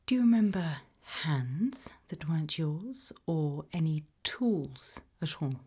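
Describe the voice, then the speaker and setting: A British woman doing an ASMR roleplay interview, asking gentle questions in a soft, breathy whisper. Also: sits low, animated, slow pace. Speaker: ASMR roleplay creator playing an investigator.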